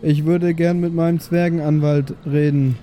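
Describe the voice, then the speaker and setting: A man's voice: on the high side, little pitch movement, normal speed, dark tone, a little hiss or hum, natural voice. A man playing a chatty, cheekily polite prisoner in an improvised tabletop game, hesitant and meandering but insistent. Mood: innocently cheeky. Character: chatty, cheekily polite male prisoner pestering his guards.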